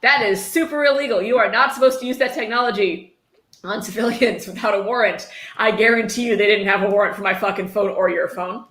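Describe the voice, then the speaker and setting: A woman's voice: medium pitch, fairly quick, fairly bright tone. A woman hosting a livestream, chatting casually and reading passages aloud with sardonic commentary and free swearing. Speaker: livestream host reading a book aloud for charity. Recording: roomy, echoey sound.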